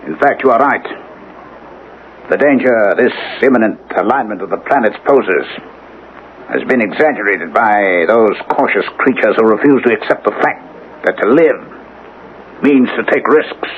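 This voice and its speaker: An actor playing a cunning criminal inventor in a radio drama, with measured, formal, taunting diction. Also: male voice, fairly low pitch, expressive, close to an everyday voice. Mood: smooth, self-assured. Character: cunning, menacing male criminal scientist and inventor. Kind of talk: 1980s radio drama acting. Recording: dull, noisy old radio broadcast recording.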